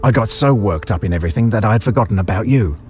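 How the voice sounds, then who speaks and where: A man's voice: fairly low pitch, expressive, fast, darkish tone, lightly acted. A man playing a maniacal butcher villain in an audio drama, theatrical and condescending in his delivery. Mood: grandiose, excited. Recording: music under the voice.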